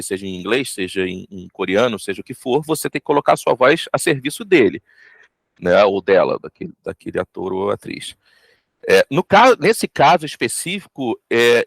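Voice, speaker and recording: Brazilian man with a creaky voice. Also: medium pitch, no echo. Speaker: voice actor interviewed about an audio drama. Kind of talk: reflective interview answer.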